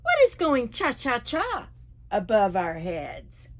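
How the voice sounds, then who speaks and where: A woman's voice: fairly high pitch, wildly swooping pitch, takes it slowly, exaggerated voice. A woman voicing a baffled young storybook boy kept awake by noisy neighbours, exclaiming and imitating noises in singsong. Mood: loud, puzzled.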